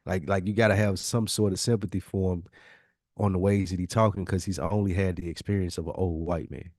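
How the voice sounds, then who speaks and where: A man's voice: sits low, steady tone, a little fast, darkish tone, dry recording, clean studio sound. A man co-hosting a podcast in casual banter, talking in colloquial slang with some swearing and often saying "man". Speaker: podcast co-host.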